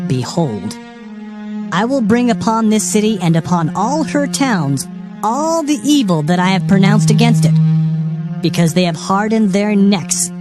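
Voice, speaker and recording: A man playing a stern, anguished Hebrew prophet pronouncing doom in a solemn, declamatory dramatized scripture reading. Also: high voice. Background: background music.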